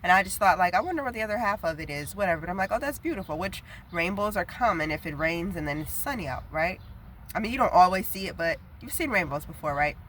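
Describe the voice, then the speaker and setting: A woman's voice: on the low side, lively intonation, fast talker, fairly bright tone, slight background noise. A young woman, nasal from a head cold, sharing a prophetic message in an earnest, chatty, rambling way. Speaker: prophetic video preacher. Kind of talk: casual spoken prophetic message.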